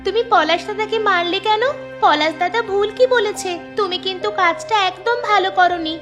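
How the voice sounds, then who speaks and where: A woman's voice: extremely high pitch, moderate pace, music in the background. A woman voicing a stubborn, vengeful little village girl in a children's cartoon, speaking with defiant emphasis. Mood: indignant.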